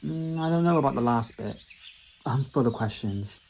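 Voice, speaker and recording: A man's voice: medium pitch, lively intonation, moderate pace, gravelly, darkish tone, a little hiss or hum. A man playing a curious, earnest human companion to a time traveller in a fan audio drama, with a questioning manner.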